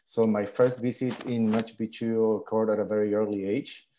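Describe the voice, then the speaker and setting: Man, 30s, from Peru, lecturer; low warm voice, hesitant restarts, video call headset. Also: flat delivery, moderate pace, a little hiss or hum. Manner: hesitant, many fillers and restarts. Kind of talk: online lecture. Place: living room at home.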